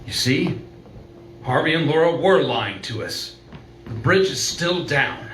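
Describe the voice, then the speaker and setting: A man's voice: on the high side, expressive, slow pace, fairly bright tone. A man playing an earnest doctor in an old-time radio play, pleading insistently and dramatically. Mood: urgent, exasperated. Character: earnest, urgent male doctor pleading with a frightened woman. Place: live performance venue, indoors. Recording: roomy, distant live-stage recording, faint noise.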